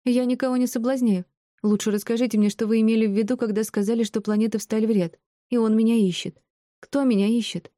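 Woman acting an indignant, bewildered young woman, higher-pitched. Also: fairly even intonation, warm tone, no echo, studio quality, lightly acted. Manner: exclamatory, quick to snap. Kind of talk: audiobook character acting.

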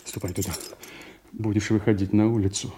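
An actor playing a resentful, scheming young bastard son who feigns concern, in brooding, insinuating stage diction for a radio drama. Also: male voice, lowish voice, level tone, fairly quick, warm tone, a little hiss or hum, close to an everyday voice. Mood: feigned concern. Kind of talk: theatrical radio drama acting.

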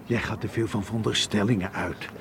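A man's voice: fairly low pitch, steady tone, brisk, noisy background, natural voice. An actor in a radio play playing a worried, cautious man who argues earnestly and insistently. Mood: exasperated, worried. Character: worried, cautious male friend urging sense. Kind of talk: radio drama acting. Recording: radio play with crowd murmur behind.

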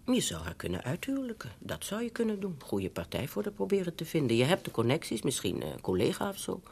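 An elderly bourgeois mother in a radio drama, fretful and meddling. Her voice is husky and creaky and swoops widely as she nags, wheedles and hesitates, anxious about appearances. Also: sits low, huge pitch swings, fast, darkish tone, heavily acted. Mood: coaxing, worried. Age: older. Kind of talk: Dutch radio drama acting.

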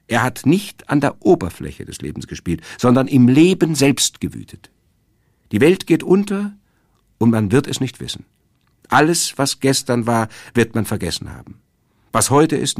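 A man's voice: on the low side, a little mellow, slightly rough, no echo, clean studio sound. A man giving a grave, rhetorical scripted introduction with dramatic quotation. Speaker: introducer of a radio play.

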